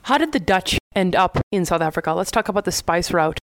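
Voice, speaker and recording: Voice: mid-range voice, lively intonation, fairly quick, a bit bright, dry recording. A host introducing a guest and asking questions in an interview.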